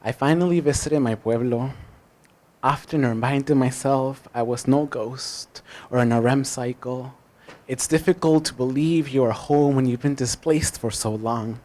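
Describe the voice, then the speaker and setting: A man reciting spoken-word poetry as a poet, with deliberate, emphatic phrasing and dramatic pauses. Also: medium pitch, darkish tone.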